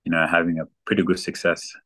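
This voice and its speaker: A young man from South Sudan, a guest athlete chatting casually in an interview, relaxed and full of ums. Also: low voice, fast. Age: young adult. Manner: relaxed, many ums and fillers.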